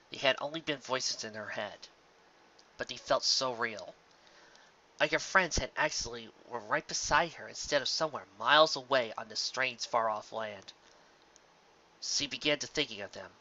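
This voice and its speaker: Amateur audiobook narrator, mid-pitched and forceful, measured dramatic delivery, dull lo-fi sound. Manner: measured, occasionally stumbling over words. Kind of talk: scripted fan fiction narration, dramatic reading.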